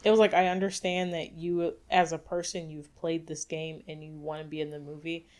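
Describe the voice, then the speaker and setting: A woman's voice: low voice, little pitch movement, brisk, darkish tone. A woman co-hosting a casual gaming podcast, explaining at length with plenty of fillers. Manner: chatty, filler-heavy, explaining. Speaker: podcast co-host. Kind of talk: casual chat.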